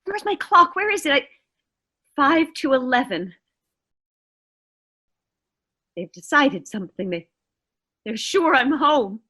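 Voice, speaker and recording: A woman's voice: sits high, sing-song, measured pace, pushed and bright, full character voice. A woman acting a nervous, demanding bedridden woman in a suspense radio play, fretful and haughty, given to exclamations. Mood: rising panic.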